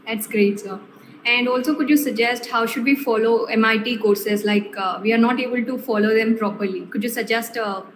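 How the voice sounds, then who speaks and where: A woman's voice: a little high, fairly even intonation, normal speed. A woman hosting a student seminar, asking questions politely and calling the guest sir. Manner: polite, formal, says sir often. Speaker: student host. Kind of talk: online seminar Q&A. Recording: echoey room with faint noise.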